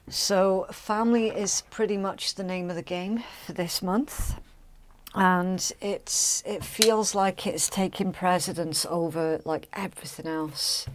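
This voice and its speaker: A woman with a slightly raspy voice, chatting casually through a tarot reading with many ums and restarts. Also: fairly low pitch. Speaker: tarot reader.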